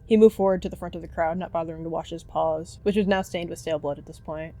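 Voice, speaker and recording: A woman's voice: lowish voice, fairly even intonation, fast talker, mellow. A woman reading scripted story narration for an animal roleplay.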